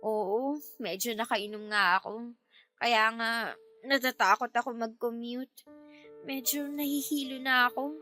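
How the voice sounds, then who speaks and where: An actress playing a feisty young Filipina in love in a radio drama, expressive and colloquial with a Filipino accent. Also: female voice, on the high side, unhurried, long pauses, faint music behind. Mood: tipsy, pleading.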